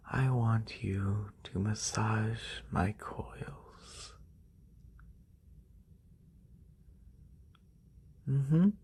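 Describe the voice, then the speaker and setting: A performer voicing a haughty, wealthy lamia noble in a breathy, soft-spoken ASMR roleplay, condescending and unhurried, close on a binaural mic. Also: medium pitch, heavily acted. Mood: languid, commanding. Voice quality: breathy, whispery.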